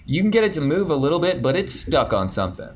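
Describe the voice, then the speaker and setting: A man running a tabletop role-playing game, describing the scene to the players in a relaxed way. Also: a little high, quick pace. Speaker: game master.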